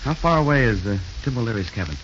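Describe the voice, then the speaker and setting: An actor playing a shrewd, authoritative police corporal in a 1930s radio drama, brisk and probing. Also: male voice, medium pitch, animated, fairly bright tone, lightly acted. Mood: methodical. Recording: dull, faintly noisy 1930s radio recording.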